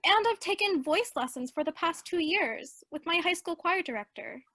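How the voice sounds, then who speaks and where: A woman's voice: extremely high pitch, expressive, normal speed, sharp tone, no echo, full character voice. An actress in a radio play as an earnest, eager eighteen-year-old girl who dreams of singing. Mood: nervous, eager. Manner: earnest, youthful.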